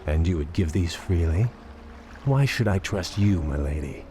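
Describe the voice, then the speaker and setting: A deep-voiced, sardonic elven prince. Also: male voice, expressive, normal speed, mellow, slight background noise. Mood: bitter. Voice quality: refined, dry and sardonic. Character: proud elven prince, weary and resentful. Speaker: prince and field commander. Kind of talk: video game cutscene voice acting.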